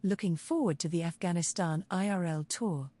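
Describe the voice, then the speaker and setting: A computer-generated woman's voice with a British accent, reading out viewer messages in an even, synthetic delivery. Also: fairly low pitch, moderate pace. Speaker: text-to-speech donation reader.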